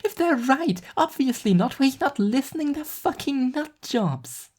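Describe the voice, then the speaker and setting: Woman as angry teen girl, raised pitch, defiant, blunt, exasperated, storybook character. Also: sing-song, a little slow, a little mellow. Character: angry, rebellious teenage girl desperate to escape.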